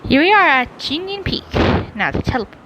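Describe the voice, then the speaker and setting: A woman's voice: high-pitched, big pitch swings, fairly quick, background noise. A woman giving casual gameplay commentary, thinking aloud and repeating phrases to herself. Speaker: game streamer.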